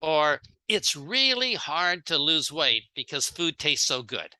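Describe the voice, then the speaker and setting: Very bright, animated seminar speaker. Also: mid-range voice, slow pace. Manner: plain-spoken, acts out short example dialogues. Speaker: speaker giving a sales training talk. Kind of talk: seminar talk in short phrases, paused for an interpreter.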